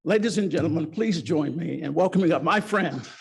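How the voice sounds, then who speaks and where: A man's voice: on the high side, a little fast, creaky, background noise. A man formally introducing the guest speaker to a club audience. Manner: formal, admiring.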